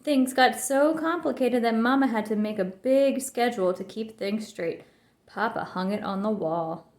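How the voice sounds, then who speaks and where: A woman reads a children's storybook aloud as narrator. Her voice sits on the high side. The recording is roomy, with a clear echo around the words. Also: normal speed, a little mellow. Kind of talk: children's book read-aloud.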